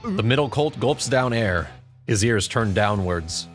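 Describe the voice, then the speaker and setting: A man's voice: on the low side, expressive, a little slow, faint music behind. A man narrating a scripted audio drama as storyteller.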